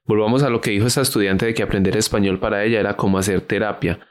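Male host, deep-ish voice, curious interviewer, calm explanations. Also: rapid-fire. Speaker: podcast host. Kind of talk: casual interview conversation.

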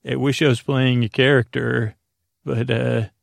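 A man with a creaky, gravelly voice hosts a bedtime podcast, recorded close and dry. He meanders through his monologue in halting phrases, stumbling over words and breaking off to correct himself. The delivery is droning and low-stakes. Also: level tone, no room sound. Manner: halting, meandering, self-interrupting, often stammers over words. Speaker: podcast host.

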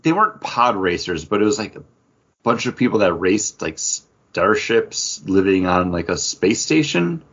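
A man with a fairly low, husky voice chats casually as a podcast co-host. He talks in halting, filler-strewn bursts, and the recording is slightly dull and lo-fi. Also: sing-song, fairly bright tone. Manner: halting, conversational, filler-heavy with frequent 'like'. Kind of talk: casual geek-culture chat.

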